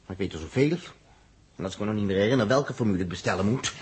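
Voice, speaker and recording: An actor in a 1960s Dutch radio drama playing a brainy, impatient inventor who grumbles and gets exasperated. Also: male voice, fairly low pitch, racing, natural voice. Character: irritable, brainy male inventor, easily exasperated. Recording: noisy old 1960s radio recording.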